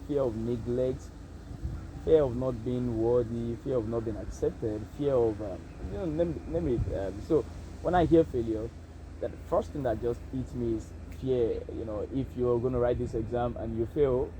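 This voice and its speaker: Young Nigerian man, mid pitch, hesitant reflective vlog delivery, Nigerian accent, noisy street background. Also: normal speed, warm tone. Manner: hesitant, reflective, many fillers. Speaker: vlogger. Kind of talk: casual self-interview monologue.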